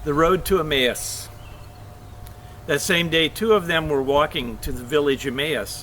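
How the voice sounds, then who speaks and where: A man reading scripture aloud for a church service, expressive, with the odd stumble and self-correction. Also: fairly high pitch, a little hiss or hum.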